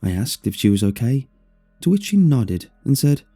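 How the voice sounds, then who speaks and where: A man narrating a scripted first-person horror story in a measured, expressive way over music. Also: mid-range voice, husky. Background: faint music.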